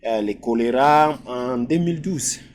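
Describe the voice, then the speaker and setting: A man's voice: mid-range voice, unhurried. A middle-aged man from DR Congo, a physician guest answering interview questions with many hesitations. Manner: hesitant, many filler sounds.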